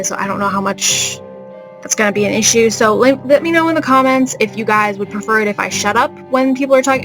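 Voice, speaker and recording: Casual woman commentator over clear game music. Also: mid-range voice. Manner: informal, rambling, addressing viewers. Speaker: let's play host. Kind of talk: casual, chatty gameplay commentary.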